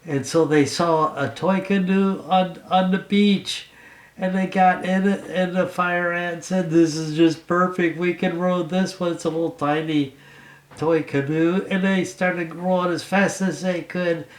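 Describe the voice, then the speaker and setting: A man improvising a bedtime story as a father would for his child, in simple, playful, halting narration. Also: sits high, fairly even intonation. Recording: roomy home recording.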